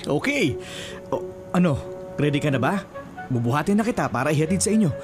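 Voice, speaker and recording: A man playing a polite, helpful young man in a Filipino radio drama, speaking in an earnest, gentle, slightly hesitant way. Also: a little high, animated, brisk, over music, close to an everyday voice.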